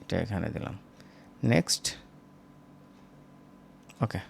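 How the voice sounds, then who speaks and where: A man teaching as a software instructor, informal and step by step, often checking in with short tag questions. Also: slow, slight background noise. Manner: conversational, seeking agreement with short tag questions. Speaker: animation tutorial instructor.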